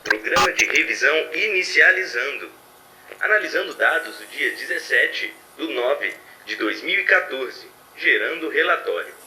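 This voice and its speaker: A man's voice: fairly high pitch, lively intonation, normal speed, sharp tone, distant mic, a little hiss or hum, poor audio. A man playing an earnest, self-doubting artificial intelligence, giving formal reports in an audio drama. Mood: clinical, uneasy.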